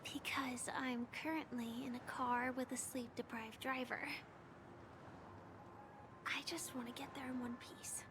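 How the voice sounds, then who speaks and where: Soft, high, wistful young woman. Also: level tone, measured pace, pauses often, noisy background. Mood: soft, curious. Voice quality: soft, breathy, often near whisper. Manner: gentle, reflective. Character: gentle, wistful young woman, quietly teasing companion. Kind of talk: video game voice acting. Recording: game audio under loud background music.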